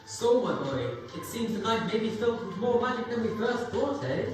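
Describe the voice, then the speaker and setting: A woman's voice: lively intonation, moderate pace, over music, natural voice. A young woman playing a jolly, hearty, fatherly male employer in a stage reading. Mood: fatherly, teasing. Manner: hearty, booming exclamations. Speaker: youth stage actor. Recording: very echoey hall, distant mic.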